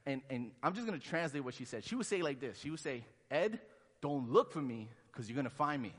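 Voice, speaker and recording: A man's voice: medium pitch, sing-song, fairly quick, a bit bright, slightly rough. A man from Honduras, a pastor in his thirties, preaching a sermon in a conversational way and acting out the quotes he tells. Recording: long hall reverb.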